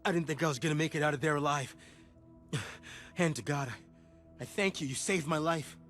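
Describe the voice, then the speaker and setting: An actor playing an earnest, informal CIA contact in a video game cutscene. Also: male voice, fairly high pitch, moderate pace, fairly bright tone, faint music behind, natural voice. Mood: shaken, grateful. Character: grateful, earnest rescued male CIA intelligence contact.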